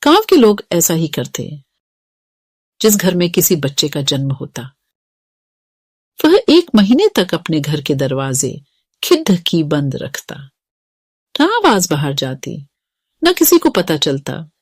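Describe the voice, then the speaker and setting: A woman storyteller reading a scripted tale for children. Her voice is low, with animated rises and falls. The recording is very dry. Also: slow pace, long pauses, breathy edge, no echo. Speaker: narrator.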